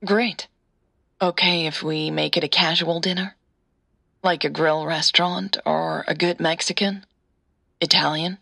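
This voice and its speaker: A polite, tentative woman caller, acted by a woman in an audio drama. She speaks softly and apologetically, with a gravelly edge and a bright tone. The sound is dry and close. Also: low voice, fairly even intonation, a little slow, pauses often, no echo, full character voice. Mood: accommodating. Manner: hesitant, apologetic, soft-spoken.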